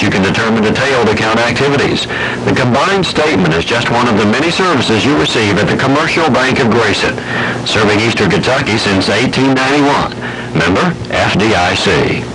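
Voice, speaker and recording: An American man reading scripted local advertisements as a radio announcer. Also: medium pitch. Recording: very noisy 1980s radio broadcast. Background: heavy broadcast noise.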